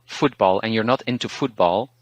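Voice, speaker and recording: A man's voice: fairly low pitch, dry recording. Belgian man giving language tips. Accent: Belgian Dutch. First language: Dutch. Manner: relaxed, thoughtful, restarts words and pauses often. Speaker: language teacher, guest. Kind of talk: interview, casual advice.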